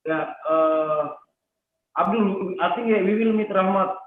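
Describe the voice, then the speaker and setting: A man's voice: sits high, a little slow. A man moderating a casual online discussion, hesitant and repeating his phrases. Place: echoey room on a video call. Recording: echoey, tinny noisy video call.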